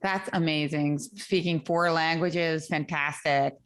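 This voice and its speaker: A woman's voice: deep voice, steady tone, takes it slowly, clear, resonant tone. A woman hosting an online girls' chess class over a video call, encouraging the students and steering the session. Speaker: chess club host.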